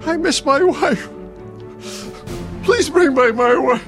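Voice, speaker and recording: A long-suffering older husband in a radio drama. His voice ranges widely in pitch as he placates and pleads, with prominent music behind him. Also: expressive, slow, full character voice. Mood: aching, tender. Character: weary, patient older husband caring for confused wife. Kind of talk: radio play acting.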